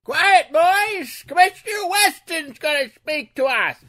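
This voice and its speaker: A woman in a 1930s-style radio drama reenactment, shouting newsboy calls. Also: extremely high pitch, lively intonation, unhurried, soft background music. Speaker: radio drama cast member.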